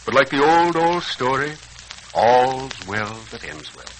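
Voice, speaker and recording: An actor playing a kindly, folksy older family friend in a theatrical 1940s radio serial style. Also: male voice, mid-range voice, expressive, unhurried. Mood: kindly, contented. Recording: hissy old radio recording.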